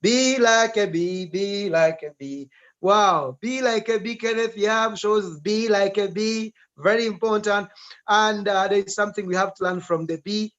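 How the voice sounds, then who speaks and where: A man hosting a live-streamed talk, urging viewers to comment in an animated way. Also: sits high, a little slow. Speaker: host. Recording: dull lo-fi sound.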